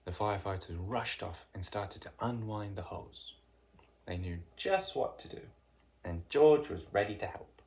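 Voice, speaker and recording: A narrator reading a children's picture book aloud in an expressive, deliberate way with dramatic emphasis. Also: sits low, a little hiss or hum. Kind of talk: read-aloud children's storytelling.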